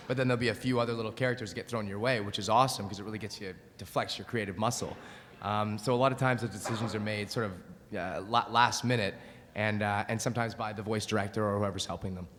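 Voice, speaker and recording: Quick casual guy voice actor on a panel, low voice, echoey hall. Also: steady tone, a bit bright. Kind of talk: convention panel talk. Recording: hall reverb from convention room.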